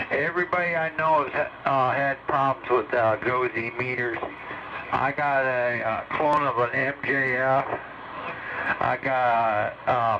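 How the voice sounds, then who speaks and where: A man comes through on a muffled CB radio with clear noise on the signal. He talks slowly in a halting, folksy ramble, sharing casual tips as a hobbyist. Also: medium pitch. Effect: heard over CB radio. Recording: dull, noisy CB radio transmission.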